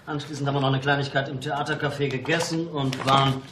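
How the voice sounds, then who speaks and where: Brusque, fast-talking arrogant boss played by a man. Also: medium pitch, little pitch movement, noisy background, lightly acted. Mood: impatient, irritated. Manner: brusque, dismissive. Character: arrogant, impatient male construction company boss. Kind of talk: German radio play acting.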